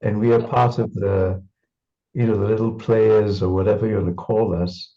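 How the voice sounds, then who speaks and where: A man's voice: fairly low pitch, steady tone, moderate pace, mellow, lo-fi sound. A man from Singapore reminiscing in an interview, thoughtful and full of restarts and fillers. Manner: thoughtful, repeats words, many fillers.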